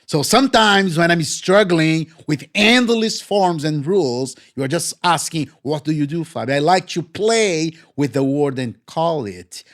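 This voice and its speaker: A man's voice: on the high side, animated, unhurried, few pauses, fairly bright tone. A man whose first language is Portuguese, co-hosting a podcast in a playful, casual way and often affirming his partner. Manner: playful, punny, eager affirmations. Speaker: podcast co-host. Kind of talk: casual chat.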